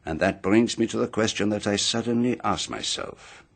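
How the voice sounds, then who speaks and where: A man's voice: lowish voice, moderate pace, vocal fry. An actor playing a pompous, meticulous male private detective in a radio mystery drama, speaking formally and with theatrical deliberation. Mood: methodical revealing.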